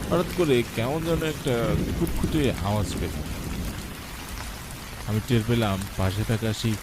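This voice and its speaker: A man's voice: medium pitch, lively intonation, normal speed, very noisy, natural voice. A man playing an anxious young grandson in a cartoon ghost story, with a nervous, hesitant manner. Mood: uneasy. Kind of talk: first-person horror story narration and dialogue. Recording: loud rain and thunder over voice.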